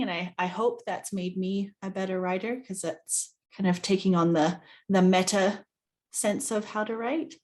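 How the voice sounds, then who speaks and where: A woman in her thirties, from New Zealand, talks about her writing as an interview guest. Her delivery is animated, rising and speeding up in quick bursts. She sounds like she is on a video call. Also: mid-range voice. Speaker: guest author. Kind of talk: conversational interview.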